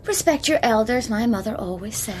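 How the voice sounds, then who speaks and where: A young Irish actress playing a nice, wry junior journalist in a naturalistic radio drama. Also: female voice, on the high side, animated, brisk, a little mellow, natural voice. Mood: teasing. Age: young adult. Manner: wry, playful teasing.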